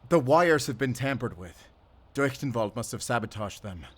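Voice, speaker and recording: A man playing a weary, determined werewolf hunter in a cinematic audio roleplay, speaking pensively in short, halting phrases. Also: mid-range voice, animated, slightly rough. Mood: urgent, alarmed. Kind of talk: cinematic audio roleplay acting, addressing a silent companion. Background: cinematic ambient sound effects.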